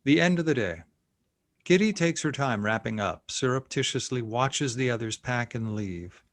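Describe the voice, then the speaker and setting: A man narrates scripted stage directions for a table read. His voice is mid-pitched and recorded dry, with very little room around it. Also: animated, moderate pace, no room sound.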